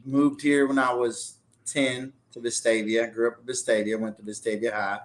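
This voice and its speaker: A man's voice: medium pitch, level tone, moderate pace. A man in his forties from Pakistan, a restaurant owner telling his story in a loose, talkative way during a casual interview.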